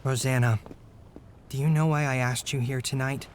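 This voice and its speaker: A man's voice: mid-range voice, fairly even intonation, lightly acted. Man playing a stagy, bumbling vampire suitor, noisy recording. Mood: theatrically adoring. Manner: halting, then stagily declaiming. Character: bumbling, scheming male vampire suitor, falsely adoring. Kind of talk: comedy horror audio drama acting.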